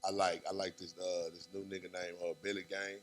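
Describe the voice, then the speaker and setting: A young man, a rapper talking as a guest on a podcast in fast, animated street talk full of fillers. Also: sits low. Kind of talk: casual podcast interview.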